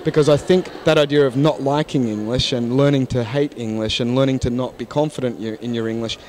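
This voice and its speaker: A man in his forties chats at a conference, holding his own mic. He speaks frankly and thoughtfully, with frequent false starts, at an even middle pitch. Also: a little fast, a little hiss or hum. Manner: frank, thoughtful, many restarts. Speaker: interview guest, English teacher. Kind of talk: casual interview. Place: conference hall lounge, indoors. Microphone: handheld mic.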